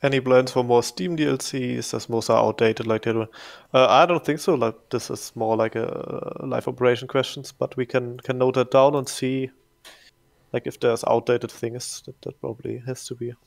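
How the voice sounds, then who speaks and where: A man's voice: medium pitch, fairly even intonation, normal speed, vocal fry. A middle-aged man on a casual livestream Q&A, answering viewer questions as community manager, repeating words and chuckling often. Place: home room, indoors. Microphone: headset.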